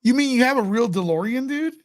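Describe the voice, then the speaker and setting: A man's voice: very high voice, lively intonation, fast talker. A man with a nasal voice hosting a casual livestream, answering viewer questions in a laid-back way. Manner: laid-back, laughs often, mock radio-announcer bits. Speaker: show host.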